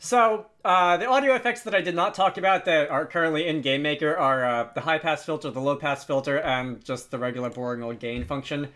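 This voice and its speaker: Casual, hesitant man giving a software tutorial. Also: a little high, a little fast, hardly any pauses. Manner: hesitant, rambling, self-deprecating. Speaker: game development tutorial host.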